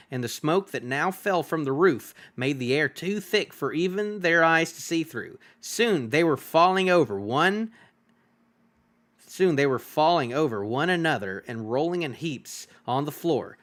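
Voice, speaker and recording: Animated, bright-voiced man reading a story. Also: on the high side. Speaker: streamer reading a novel aloud.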